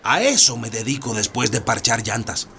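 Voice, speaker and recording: An actor playing a smooth-talking, abusive husband and tire patcher in a radio drama, cajoling with drawn-out, emphatic words. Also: male voice, medium pitch, lively intonation, bright, forceful tone, slight background noise. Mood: wheedling, sly. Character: wheedling, abusive husband, a tire patcher who bribes and threatens.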